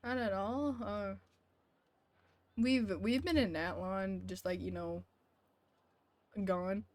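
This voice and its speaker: A woman's voice: mid-range voice, fairly even intonation, slow pace, darkish tone, no echo. A woman live-streaming a video game with casual commentary, playfully sarcastic as she talks back to chat and game.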